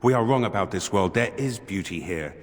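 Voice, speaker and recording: An actor playing a solemn, wondering spirit in a dead man's body for a video game, grave, formal and deliberate. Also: on the low side, animated, big-room sound, lightly acted. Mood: wondering, earnest.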